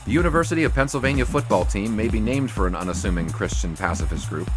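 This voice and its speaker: An actor playing a young 1920s college sportswriter who narrates in ornate prose and banters teasingly. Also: male voice, lowish voice, lively intonation, fast talker, lightly acted. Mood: narrating. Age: young adult. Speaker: student sports writer. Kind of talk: 1920s radio drama. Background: music.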